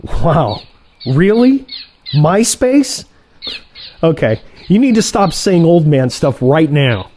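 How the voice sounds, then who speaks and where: A man's voice: a little high, sing-song, takes it slowly, a little mellow, a little hiss or hum. An actor playing a cocky younger male assassin who banters with teasing sarcasm in a comic audio play. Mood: sarcastic.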